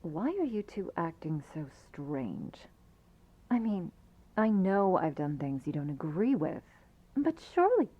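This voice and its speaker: Slightly muffled roleplay audio of a woman as a predatory vampire lady. Her voice is silky and condescending, swinging widely in pitch. She drawls slowly and theatrically, like ASMR villainy. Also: very animated. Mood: sly, needling.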